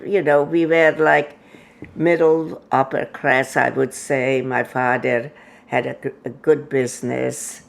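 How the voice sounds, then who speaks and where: A woman in her nineties, from Hungary, telling her life story slowly in an interview, with many pauses and fillers. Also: extremely low pitch, flat delivery, creaky. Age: 90s. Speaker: interview guest. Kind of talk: oral history interview.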